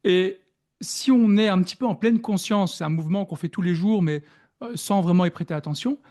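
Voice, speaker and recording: A man with a Belgian French accent talks as a podcast guest, measured and reflective, often pausing on fillers. His voice sits a little high but sounds warm and mellow. He explains ideas patiently, building them up step by step. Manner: reflective, hesitant with frequent fillers. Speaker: productivity coach, guest. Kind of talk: podcast interview.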